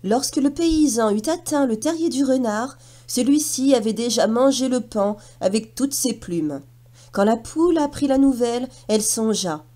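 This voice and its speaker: Female children's storyteller: high voice, lively melodic range, expressive scripted narration. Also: normal speed.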